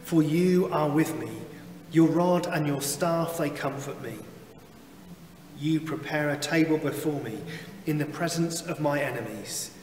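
A man in his thirties leads prayers and reads scripture in an unhurried, measured way. His voice sits a little high and carries a long reverb tail from the chapel. He is heard from a distance with faint room noise behind. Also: a little mellow. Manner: slow, measured liturgical delivery. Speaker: chaplain leading worship. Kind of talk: reading scripture and leading prayers. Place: small chapel with wood-panelled wall. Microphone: far camera, seated behind a lectern.

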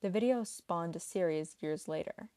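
A woman's voice: on the low side, normal speed, mellow, dry recording. A woman reading a scripted explainer narration for an online video.

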